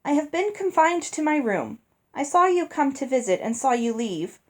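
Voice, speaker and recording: Curious, high-voiced storybook girl, read by a woman. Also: big pitch swings, normal speed. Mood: apologetic. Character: polite, curious young girl writing to a prince. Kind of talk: storybook letter read aloud.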